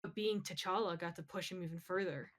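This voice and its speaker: A woman's voice: on the low side, brisk. A woman co-hosting a podcast in casual pop-culture chat, conversational and opinionated. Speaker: podcast co-host.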